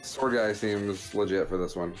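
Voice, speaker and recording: A man's voice: fairly low pitch, moderate pace. A man co-hosting a game show, chatting casually and jokingly as he comments on the play. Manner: informal, joking. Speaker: video game show co-host. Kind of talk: casual chat commenting on gameplay. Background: game music.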